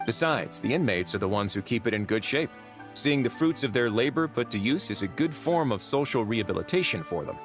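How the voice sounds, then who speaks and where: A man playing a calm, dryly witty duke in a video game cutscene, speaking in a composed, measured way. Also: mid-range voice, soft background music, lightly acted. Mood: matter-of-fact.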